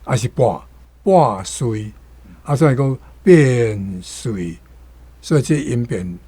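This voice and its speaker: A Taiwanese man gives a careful language commentary, reading and explaining pronunciation. He speaks slowly in a dark, husky voice of medium pitch. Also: expressive, dry recording. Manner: deliberate, explanatory.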